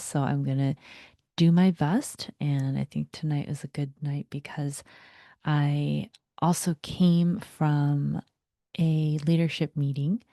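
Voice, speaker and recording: A young woman whose first language is Vietnamese, giving a reflective guided talk in a soft voice that often slips into a breathy whisper. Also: low-pitched, no room sound, clean studio sound. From United States. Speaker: vlogger.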